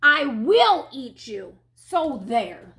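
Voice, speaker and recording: Woman voicing an emotional, high-pitched storybook big bad wolf. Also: very animated, normal speed, pushed and bright, full character voice. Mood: indignant, angry. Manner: emotional, swinging from sweet pleading to howling. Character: male big bad wolf, wounded, eager to please.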